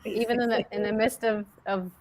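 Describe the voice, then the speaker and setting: A woman's voice: on the high side, steady tone, brisk, a little mellow. A woman speaking enthusiastically as a panelist on a video call. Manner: enthusiastic, casual swearing. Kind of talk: casual panel chat.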